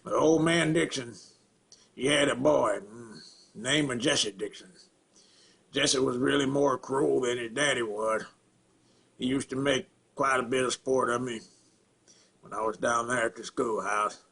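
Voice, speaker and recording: A man acting a simple-minded rural Southern man in a halting, plainspoken dramatic film monologue, with a throaty rasp and grunts. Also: medium pitch, a bit bright, exaggerated voice. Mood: halting, subdued. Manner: halting, repetitive, plainspoken, folksy.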